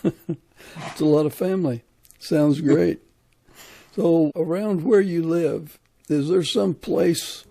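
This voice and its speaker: Slow, gravelly male podcast host. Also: fairly high pitch, warm tone, no room sound. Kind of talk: interview conversation.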